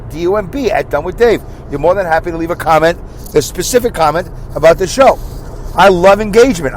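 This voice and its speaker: A man hosting his own podcast alone, rambling in a casual monologue from inside his car, repeating himself as he thinks out loud. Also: a little high, a little hiss or hum, poor audio. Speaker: podcast host.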